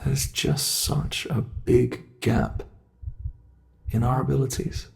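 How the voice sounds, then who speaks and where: A sadistic monster from under the bed, voiced by a British man, lingering over every word at an unhurried crawl. The voice is gravelly and mid-pitched, gloating and menacing, and it keeps dropping into hushed whispers for ASMR horror. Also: steady tone. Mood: musing. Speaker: voice actor.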